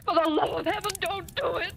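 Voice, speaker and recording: A woman's voice: very high voice, fairly even intonation, a little fast, a bit bright. An actress playing an anxious, pleading young noblewoman in love, in an old radio drama. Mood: desperate pleading. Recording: faintly noisy old radio recording.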